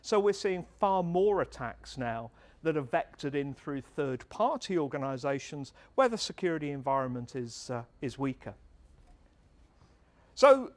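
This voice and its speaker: Middle-aged male presenter, a touch high-pitched, conversational and earnest on a handheld mic in a large event hall. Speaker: speaker at a tech meetup. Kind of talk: conference talk.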